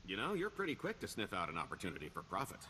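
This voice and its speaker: A man's voice: low-pitched, wildly swooping pitch, rapid-fire, sharp tone, slight background noise, heavily acted, rough recording. A man playing a roguish middle-aged career criminal in a video game, wry and boastful, with a wheedling, theatrical sarcasm. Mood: sly, persuasive.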